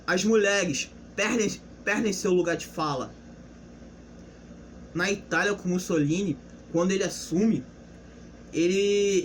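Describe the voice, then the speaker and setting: A man's voice: high-pitched, a bit bright, slight background noise. A young man giving a casual, unscripted history lesson, explaining loosely with restarts and rhetorical questions. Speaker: history presenter.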